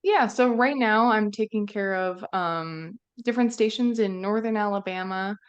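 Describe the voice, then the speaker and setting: A woman's voice: mid-range voice, moderate pace, clean tone, lo-fi sound. A young woman from central Minnesota, a broadcast engineer answering interview questions in a friendly way with frequent ums. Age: young adult. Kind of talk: conversational interview.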